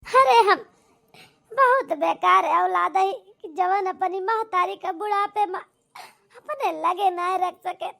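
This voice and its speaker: A woman's voice: very high voice, creaky, pushed and bright, voice pushed up, exaggerated voice. A woman voicing a guilt-stricken daughter in a cartoon melodrama, crying and wailing remorsefully with an Awadhi accent. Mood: tearful remorse. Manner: wailing, drawn-out lament.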